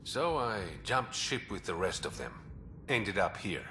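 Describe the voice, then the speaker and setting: An actor playing a secretive man who brokers information in a port, confiding and smooth. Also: on the low side, sing-song, measured pace, sharp tone, slight background noise, heavily acted. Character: shadowy male underworld information broker.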